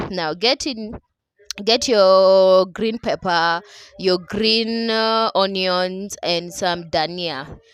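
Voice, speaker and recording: A woman's voice: medium pitch, clean tone, no echo. Chatty Kenyan woman with a dry voice-over. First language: Dholuo. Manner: chatty, laughing, keeps asking "okay?". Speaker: cooking vlogger. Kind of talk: casual cooking voice-over. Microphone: voice-over mic.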